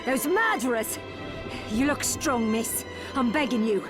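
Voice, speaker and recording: High, slow-spoken vengeful widow. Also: female voice, lively intonation, over music. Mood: pleading, bitter. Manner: emotive, folksy, pleading. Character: grief-stricken widowed villager bent on vengeance. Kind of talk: video game voice acting.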